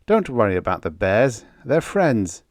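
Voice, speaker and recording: A man's voice: mid-range voice, very animated, a little slow, dry recording, voice pushed up. A man voicing a self-possessed outlaw settler in an audiobook, speaking with dry politeness and an air of command. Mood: wry, polite. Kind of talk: audiobook character dialogue.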